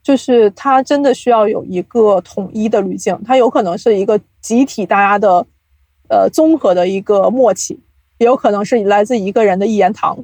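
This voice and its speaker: A woman's voice: medium pitch, moderate pace, a little mellow, no room sound, rough recording. A woman speaking as a podcast guest, explaining things reflectively in a casual interview style. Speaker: podcast guest, audio drama producer.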